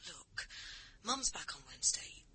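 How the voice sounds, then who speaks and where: An actress playing an exasperated, scolding sister in a radio drama, reproachful and nagging. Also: female voice, low-pitched, big pitch swings, unhurried, bright, forceful tone, a little hiss or hum, exaggerated voice. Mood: reproachful, hurt.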